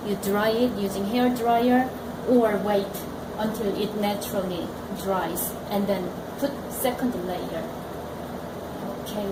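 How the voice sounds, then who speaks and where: A woman with a Korean accent, teaching painting and talking slowly and thoughtfully while she works. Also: fairly high pitch, reverberant, noisy background. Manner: slow and thoughtful, talks while working. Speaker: art teacher. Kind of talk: painting demonstration. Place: art gallery studio room.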